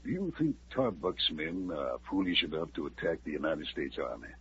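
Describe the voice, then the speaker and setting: A cautious army colonel. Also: male voice, very deep voice, very animated, a bit bright, husky, exaggerated voice. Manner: formal, measured, hesitant officer. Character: cautious middle-aged male army colonel. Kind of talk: 1950s radio drama. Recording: muffled old radio recording with faint hiss.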